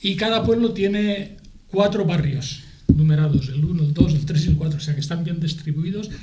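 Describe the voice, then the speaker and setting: A middle-aged man with an accent from Spain, explaining things in a TV debate in a halting way, repeating and rephrasing as he goes. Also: on the high side, background noise. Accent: Spanish from Spain. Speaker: guest, aid association spokesman. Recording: echoey room.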